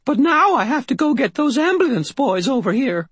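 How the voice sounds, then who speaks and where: A man's voice: very high-pitched, big pitch swings, normal speed, a bit bright, husky, no room sound, voice pushed up, heavily acted. A man voicing a frail, devout elderly woman landlady with a quavering, tearful voice. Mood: fond. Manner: tearful, pleading.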